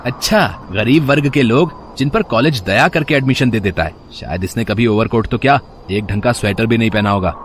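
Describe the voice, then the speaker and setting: An arrogant rich college lad, played by a man in a moral story, haughty and sneering. He talks at a middle pitch, with faint street noise behind him. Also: brisk, few pauses, lightly acted. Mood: mocking. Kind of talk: animated moral story dialogue.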